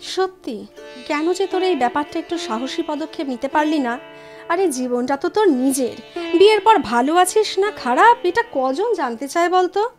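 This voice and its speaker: An actress in a Bengali audio drama playing a reflective, outspoken childhood friend, musing and frank. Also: female voice, high-pitched, animated, moderate pace. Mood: reproachful. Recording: studio drama with drums and music behind.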